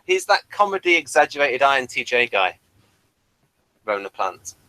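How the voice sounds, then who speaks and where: A man's voice: mid-range voice, big pitch swings, moderate pace, bright, forceful tone, slight background noise, rough recording. A man giving casual, explanatory commentary on a TV episode, sharing background details as he goes. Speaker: host of a TV episode review commentary.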